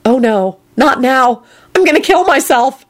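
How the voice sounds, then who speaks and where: A woman voicing an emotional, irritable young woman who is easily wounded and quick to snap. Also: sits high, animated, voice pushed up. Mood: dismayed. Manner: snappish, wailing when upset.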